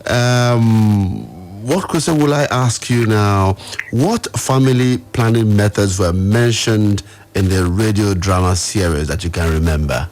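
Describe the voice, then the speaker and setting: A low-pitched male radio host with a Nigerian accent. He runs a live phone-in programme, putting questions to callers and guests from a studio. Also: measured pace, a little mellow.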